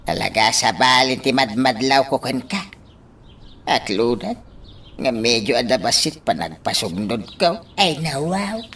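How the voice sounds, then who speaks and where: A man's voice: medium pitch, lively intonation, unhurried, fairly bright tone, slight background noise. A man acting an exasperated, pleading character in an Ilocano radio drama.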